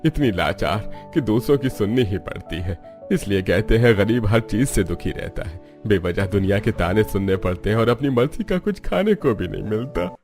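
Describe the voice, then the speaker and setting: A man acts out a weary older father-in-law in a cartoon. He sounds low and resigned, speaking heavily over faint music. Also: expressive, normal speed, darkish tone. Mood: dejected.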